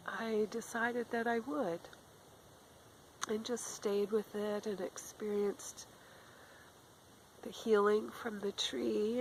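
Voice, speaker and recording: A middle-aged woman with a cold talks softly outdoors in the woods, her voice raspy and gravelly and often dropping to a whisper. She speaks very slowly and gently, in a calm spiritual tone. Faint outdoor sounds and a crow can be heard behind her. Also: a little high, slight background noise, rough recording. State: has a cold. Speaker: spiritual channeler. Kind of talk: slow, gentle spiritual talk to camera. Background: faint outdoor ambience with a crow.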